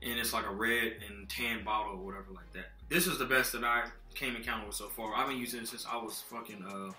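Young man, bright-toned, lowish voice, laid-back filler-heavy rundown, echoey apartment room, faint music. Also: steady tone, quick pace. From Texas. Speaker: vlogger reviewing car care products. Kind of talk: casual product rundown. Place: dim apartment living room, indoors. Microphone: laptop built-in mic.

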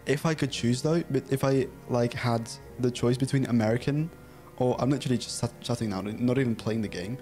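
A young Dutch man who speaks English with a British-style accent, talking casually at a mid-range pitch into a close mic in a small room at home. He giggles often, gets flustered easily and reads chat and game text aloud. Faint music plays in the background. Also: fairly quick, warm tone. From Netherlands. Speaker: streamer.

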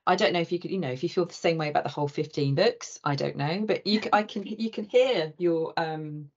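A woman's voice: low voice, fairly quick. A middle-aged woman hosting an online book talk from a room with bookshelves, effusive and rambling, with many restarts. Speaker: host and interviewer. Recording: dull lo-fi video call audio.

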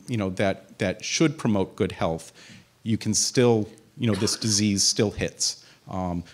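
A man in his fifties, a physician, giving a conversational public talk in a community center room, speaking into a handheld microphone with many fillers and restarts. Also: on the low side. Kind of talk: public lecture with audience questions.

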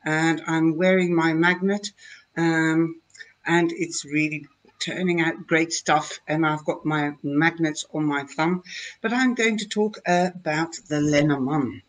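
An older woman with a Dutch accent, talking slowly into her webcam at home and explaining her fortune-telling cards in a chatty, hesitant way. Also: low voice, steady tone. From Netherlands. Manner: slow, chatty, with many hesitations. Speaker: tarot reader. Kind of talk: live-streamed card reading talk. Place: home room, indoors. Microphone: webcam at desk.

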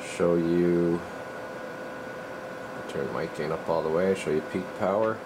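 A man calmly demonstrating and testing a radio in his workshop, talking in a relaxed, halting way as he works. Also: low-pitched, background noise. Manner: relaxed, halting, talks while working. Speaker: radio repair technician.

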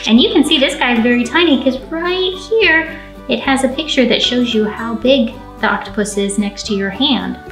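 A young American woman, a children's librarian, presenting storytime to small children in an animated, direct way, recorded indoors. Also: fairly high pitch, moderate pace, soft background music. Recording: roomy, echoing indoor sound.

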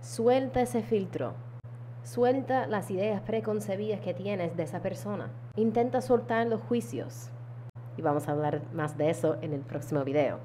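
A woman, calmly teaching a mindfulness lesson to camera, with deliberate pauses. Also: medium pitch, slight background noise. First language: English. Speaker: yoga teacher. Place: indoor room at home. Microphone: small handheld lavalier mic held close.

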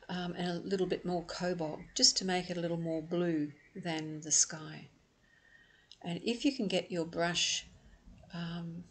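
A middle-aged woman from Australia gives a calm, patient step-by-step watercolour painting lesson, with frequent ums. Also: fairly low pitch, husky. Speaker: watercolour art teacher. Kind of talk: step-by-step painting demonstration voice-over.

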